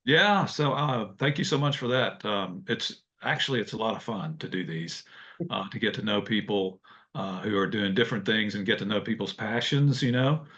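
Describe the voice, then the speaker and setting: A man's voice: on the low side, moderate pace, fairly bright tone. An older man hosting a casual interview over a video call from a home office with bookshelves, speaking hesitantly with frequent fillers. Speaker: host. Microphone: video call webcam. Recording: dull lo-fi webcam audio.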